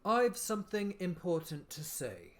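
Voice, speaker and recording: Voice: mid-range voice, wildly swooping pitch, fairly bright tone. A performer voicing a stern, contemptuous caterpillar in a storybook reading, curt, drawling and disdainful. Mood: commanding, aloof. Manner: slow, drawling, terse and disdainful. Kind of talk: acted character reading of classic storybook.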